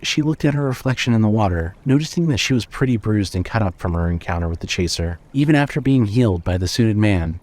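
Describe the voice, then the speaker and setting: Measured male story narrator. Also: on the low side, animated, darkish tone. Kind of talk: scripted fiction narration.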